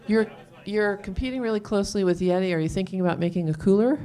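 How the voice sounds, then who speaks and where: A woman's voice: mid-range voice, fast, mellow, some chatter behind. A woman with a mid-range voice hosts a live talk. She fields audience questions and restates them plainly for the speakers. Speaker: moderator taking audience questions. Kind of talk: live event moderation, relaying questions. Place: on stage at a live pub talk event.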